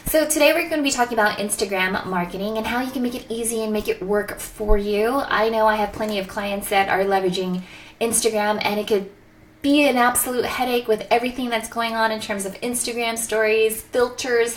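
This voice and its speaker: A woman's voice: on the high side, fairly quick, a bit bright, a little hiss or hum. A woman hosting a live interview stream, enthusiastic and upbeat, laughing often. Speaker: host of a live show.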